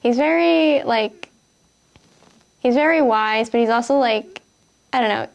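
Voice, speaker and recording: Young woman, Wisconsin accent, slightly high voice, unsure and filler-heavy interviewee. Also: measured pace, vocal fry, highs cut off. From Kenosha, Wisconsin. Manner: hesitant, many fillers and nervous laughs. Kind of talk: reading word list, then casual interview answers.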